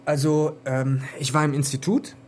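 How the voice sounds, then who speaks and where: An actor playing a wry, grumbling and excitable young techie in a naturalistic German radio play, speaking in halting slang. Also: male voice, fairly high pitch, background noise, close to an everyday voice. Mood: wry, showing off.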